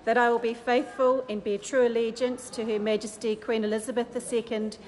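A woman's voice: a little high, little pitch movement, a little fast, darkish tone. A woman, a member of parliament solemnly reading a formal affirmation in a large chamber. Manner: solemn, careful. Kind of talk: formal oath recital. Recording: reverberant chamber, faint hum.

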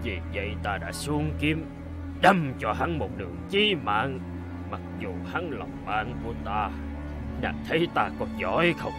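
An actor playing a burly, boastful, hot-tempered royal guard and bridegroom in a cartoon folk tale, emphatic and demanding. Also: male voice, medium pitch, sing-song, a little slow, music in the background. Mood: drunken boasting. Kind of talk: animated folk-tale dialogue.

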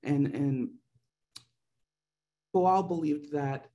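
A man's voice: fairly high pitch, drawn-out words, dark tone, highs cut off. A man speaking as a theater-practice panelist, passionate and emphatic, building his points with rhetorical questions. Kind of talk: reflective panel discussion.

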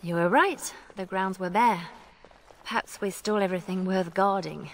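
A woman's voice: medium pitch, moderate pace, close to an everyday voice. A woman playing an earnest, principled young female spy in a video game, with a hesitant, pleading manner. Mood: earnest, uneasy.

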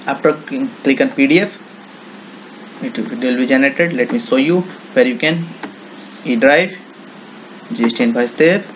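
A man with an Indian accent giving an informal software walkthrough, explaining step by step in simple, repetitive phrases. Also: medium pitch, moderate pace, pauses often, slight background noise, poor audio. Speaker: software tutorial presenter. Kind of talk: informal screen-recording walkthrough.

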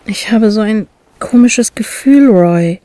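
An actress playing a gentle, concerned wife with uneasy forebodings in an audio drama. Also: female voice, mid-range voice, slow pace, faint music behind, lightly acted. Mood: worried, caring.

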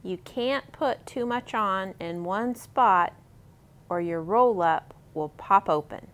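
A woman's voice: mid-range voice, slow, a bit bright. A woman in her thirties hosting a friendly step-by-step cooking demonstration for children, speaking in simple, encouraging instructions. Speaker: library cooking program host for kids.

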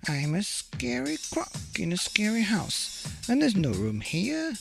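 Man putting on a snappy crocodile, raised pitch. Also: huge pitch swings, a little slow, vocal fry, over music, exaggerated voice. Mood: menacing. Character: snappy scary male crocodile.

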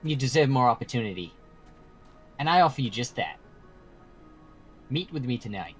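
A man playing an earnest, persistent human emissary in an amateur game roleplay, speaking in a formal, measured, portentous way. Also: mid-range voice, lively intonation, breathy edge, faint music behind. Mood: solemn, persuasive. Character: earnest, persistent male mortal emissary with a proposition.

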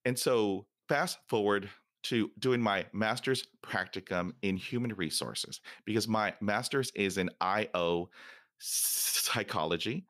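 A man's voice: fairly low pitch, slow pace, fairly bright tone, dry recording, clean studio sound. A man who stutters, hosting a podcast interview and telling stories animatedly with laughter. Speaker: podcast host.

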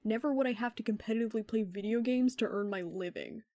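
Wry young man voiced by a woman, highish mellow voice, ironic deadpan wisecracker for audio fiction. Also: fast talker, no room sound, natural voice. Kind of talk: fan fiction podcast acting.

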